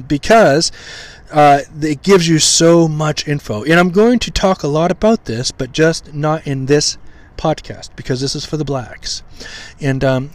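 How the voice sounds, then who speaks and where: A man hosting a podcast, teaching scripture in an informal way with a slightly hoarse, weak voice, full of pauses, ums and restarts while he tries to enunciate carefully. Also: on the high side, dry recording. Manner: halting, many ums and restarts, deliberate enunciation.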